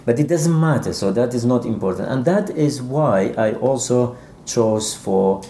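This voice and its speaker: A man speaking as an author in a literary interview, thoughtful and halting, often repeating words as he searches for the next phrase. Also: mid-range voice, lively intonation, dark tone, a little hiss or hum. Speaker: author interviewed about his novel.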